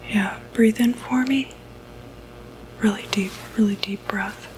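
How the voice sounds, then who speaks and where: A woman whispering breathily in an ASMR medical roleplay, playing a reassuring doctor with a hesitant, gentle bedside manner. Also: mid-range voice, fairly even intonation, slow pace, slight background noise, low-quality recording. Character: calm, reassuring doctor who treats demonic possession.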